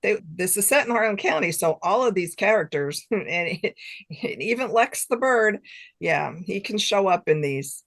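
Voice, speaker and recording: A woman's voice: medium pitch, lively intonation, normal speed, dry recording. A middle-aged woman, an author being interviewed, chatting casually and thinking aloud in loose, informal sentences. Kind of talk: casual chat.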